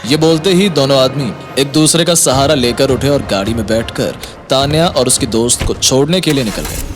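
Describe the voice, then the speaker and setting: Guy narrating a dramatic audio story, medium pitch, clear music behind. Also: moderate pace.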